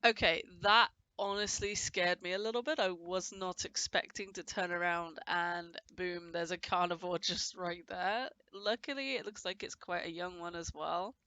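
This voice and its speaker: A woman's voice: on the low side, steady tone, moderate pace, hardly any pauses, pushed and bright, no room sound. Woman with a cold, rambling game commentary. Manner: chatty, rambling, with frequent restarts. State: has a cold, voice a bit off. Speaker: gameplay commentator. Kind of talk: casual let's-play commentary.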